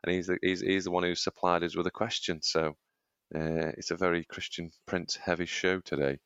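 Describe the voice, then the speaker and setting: A man with a very deep voice, made husky by a cold, chatting on a podcast and laughing at his own jokes. The sound is dry and a little dull, close to the mic. His talk is loose and self-mocking. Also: level tone, normal speed, a bit bright, dry recording. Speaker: podcast co-host, aspiring runner. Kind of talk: casual chat. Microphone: studio microphone.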